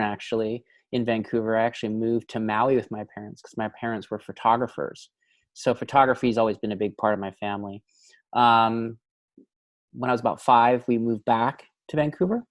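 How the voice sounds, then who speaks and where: A man's voice: medium pitch, steady tone, a little fast, no room sound. Dry-voiced man telling stories casually. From Canada. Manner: rambling, thinks aloud with many fillers. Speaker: film director and cinematographer, guest. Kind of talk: casual interview conversation.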